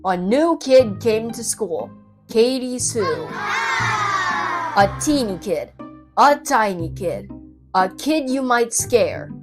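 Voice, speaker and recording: A woman narrates a children's story in a fairly high, very lively voice. She is dramatic and playful, taking her time with the rhythm. Prominent children's music plays behind her. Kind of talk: expressive children's read-aloud narration.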